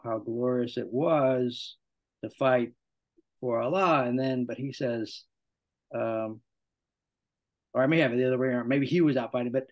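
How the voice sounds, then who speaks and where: A man leading a book discussion, explaining ideas like a teacher. He speaks in an animated way, and his pitch jumps upward when he stresses a point.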